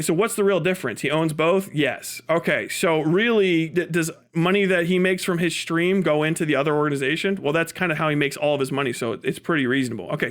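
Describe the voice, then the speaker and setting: A male livestream host with a notably high-pitched voice delivers casual, ranting commentary. He is emphatic and swears freely, building his argument by posing questions and answering them himself. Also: a bit bright.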